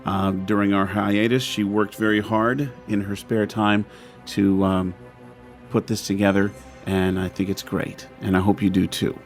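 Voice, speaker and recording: Male host for drama podcast outro. Lower register, informal and chatty, light music bed. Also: little pitch movement, a little slow. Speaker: host and producer of an audio drama show.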